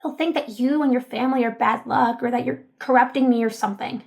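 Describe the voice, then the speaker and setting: A woman's voice: fairly high pitch, steady tone, quick pace, gravelly. An actress playing a sheltered but defiant, tender teenage girl in an audio drama, with an earnest, hesitant manner. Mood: determined. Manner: hesitant, earnest, stammering when flustered.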